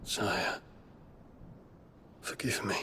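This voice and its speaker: An actor playing a dutiful, troubled princely knight in a video game drama, formal and restrained. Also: male voice, sharp tone, slight background noise. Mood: humbled. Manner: formal, measured.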